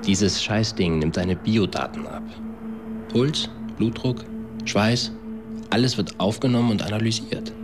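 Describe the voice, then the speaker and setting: Very low-pitched laconic man played by an actor. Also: background noise, lightly acted. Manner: laconic, colloquial. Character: laconic man recounting an evening at a bar. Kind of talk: German radio drama acting, storytelling.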